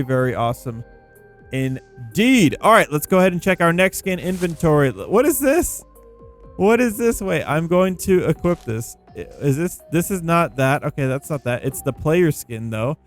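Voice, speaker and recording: A man giving casual gameplay commentary, thinking out loud in an informal way. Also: a little high. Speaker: gaming video creator. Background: background music.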